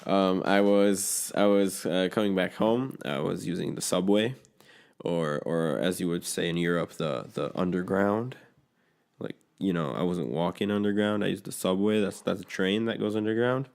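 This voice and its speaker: A man's voice: low-pitched, moderate pace, creaky, darkish tone, smooth. A man who speaks Polish at home, co-hosting a podcast in casual, rambling chat full of restarts and fillers. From grew up between a Polish home and an English-speaking school. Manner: rambling, many restarts and fillers, swears freely. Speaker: podcast co-host.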